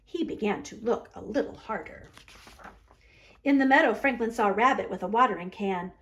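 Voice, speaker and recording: A woman's voice: medium pitch, moderate pace, a bit bright. A woman library storyteller narrating a children's storybook aloud.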